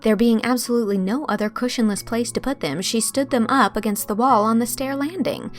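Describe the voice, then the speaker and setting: A woman's voice: a little high, brisk, faint music behind, close to an everyday voice. Woman acting a spirited, dreamy young college woman. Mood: exasperated, wry. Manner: expressive, literary, earnest.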